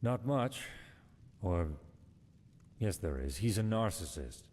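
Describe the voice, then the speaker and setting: A man's voice: sing-song, takes it slowly, a little mellow. An actor playing a cynical, sardonic man of a holy order in a fantasy game, dry and articulate. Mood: dry, scornful. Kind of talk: cinematic fantasy game voice acting. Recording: reverberant hall, faint rumbling noise.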